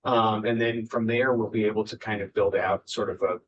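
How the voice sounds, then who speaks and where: Male committee chair, halting delivery, muffled meeting recording with low rumble. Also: steady tone. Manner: halting, many fillers and restarts. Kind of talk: public meeting remarks.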